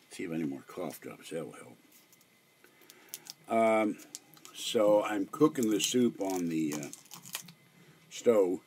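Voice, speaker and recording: An older man with a hoarse voice, chatting on a live stream from a small room at home, meandering and halting with many fillers. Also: low-pitched, animated, a little mellow, a little hiss or hum. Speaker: livestreamer. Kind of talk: rambling live stream chat.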